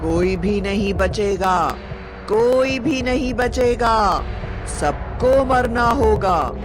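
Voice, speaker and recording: Over clear background music comes a threatening ghostly spirit played by a woman narrator. The words are stretched out very slowly, full of menace, as in a children's horror tale. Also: fairly high pitch, lively intonation. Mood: menacing. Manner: slow, drawn-out, threatening. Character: menacing unseen haunting spirit threatening villagers.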